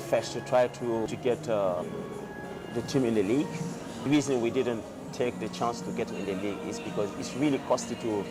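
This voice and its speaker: A man who organizes youth football, speaking hesitantly with many fillers in an interview. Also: medium pitch. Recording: interview with music underneath.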